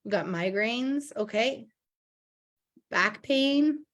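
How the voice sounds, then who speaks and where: A woman in her thirties, slightly husky from a cold, hosting a casual online product presentation on a video call with many ums and restarts. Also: a little high, lively intonation, drawn-out words, a bit bright. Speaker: host, direct sales presenter.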